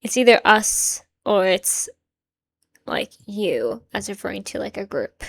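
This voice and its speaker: A young adult woman whose native language is Swedish, hosting a reaction video in casual, musing commentary that often trails off quietly. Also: mid-range voice, sing-song, a little slow, gravelly, breathy edge, soft background music. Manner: musing, hedging, soft-spoken with frequent quiet trailing asides.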